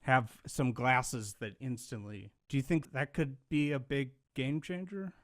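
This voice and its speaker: A man hosting a casual podcast interview in a mid-range voice. He talks in an animated, rambling way, firing off long questions and swearing casually. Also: mid-range voice, unhurried. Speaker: podcast host.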